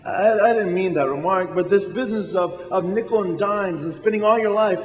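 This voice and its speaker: Guy doing a stammering excitable young dreamer in a radio play, high voice, big echoey hall. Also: brisk, natural voice. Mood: earnest, frustrated. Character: restless, eager young small-town dreamer, male. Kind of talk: stage radio drama acting. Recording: echoey hall with long reverb.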